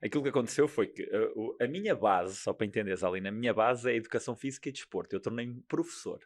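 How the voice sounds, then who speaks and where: A man's voice: medium pitch, fast talker. A middle-aged man from Portugal with a European Portuguese accent, talking as a guest about his work as a coach, earnest and given to repeating phrases. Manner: earnest, repeats phrases while searching for words. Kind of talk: interview conversation.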